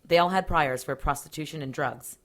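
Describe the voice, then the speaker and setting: Actress as police detective, deep female voice, cool, sardonic, capable, matter-of-fact, audio drama. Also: brisk, close to an everyday voice.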